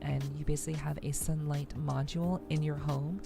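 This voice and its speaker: Female livestream host, low and fry-heavy, gentle rambling ASMR-style chat, background music. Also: fairly even intonation, fairly quick. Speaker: streamer, host of a weekly live show. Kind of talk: soft-spoken ASMR game stream chat. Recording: music playing behind the voice.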